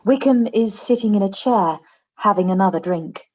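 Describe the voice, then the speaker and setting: A woman narrates a live-read radio play at a medium pitch. She reads the stage directions as scripted storytelling. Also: moderate pace, a little mellow, poor audio.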